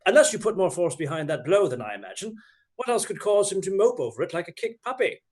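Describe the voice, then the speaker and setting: A man's voice: high voice, sing-song, fast, darkish tone. A man playing a bold, teasing, roguish outlaw leader in a radio play, with sardonic courtly manners. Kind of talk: theatrical radio play acting.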